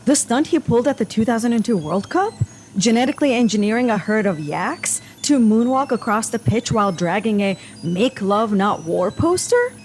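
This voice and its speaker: A woman's voice: a little high, expressive, moderate pace, darkish tone, a little hiss or hum, natural voice. A woman playing a wry, needling secret agent in an audio comedy, sarcastic and teasing. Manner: sardonic, teasing.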